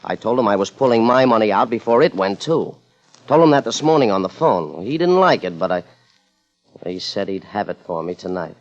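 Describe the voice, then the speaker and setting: An actor playing a guarded, politely hesitant investor questioned by police in a 1950s radio crime drama. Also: male voice, lowish voice, expressive, brisk. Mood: uneasy, rueful. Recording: muffled vintage radio broadcast, music.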